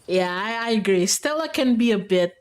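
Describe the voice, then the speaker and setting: Woman game streamer, average pitch, excitable, playful off-the-cuff commentary with stumbling repeats. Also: normal speed. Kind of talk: playful game commentary while reading a visual novel aloud.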